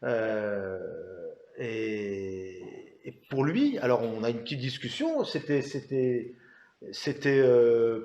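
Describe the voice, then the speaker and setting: A man from France, a rabbi, speaking informally at a book talk, haltingly and often repeating words. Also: medium pitch, a little mellow. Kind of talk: informal spoken anecdote to an audience. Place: indoor talk venue. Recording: echoey room, dull sound.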